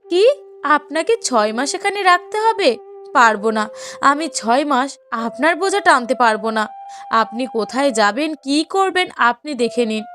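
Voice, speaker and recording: A woman's voice: high voice, lively intonation, moderate pace, a bit bright, soft background music. A woman voicing a cruel, bossy young daughter-in-law in a cartoon story, scolding and complaining sharply. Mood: indignant. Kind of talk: cartoon moral story voice acting.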